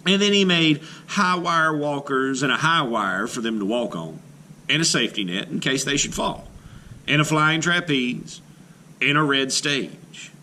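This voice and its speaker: Male art teacher reading a storybook to kids, bright and animated, faint room noise behind. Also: mid-range voice. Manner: expressive storybook reading, slowing on key phrases. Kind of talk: children's read-aloud.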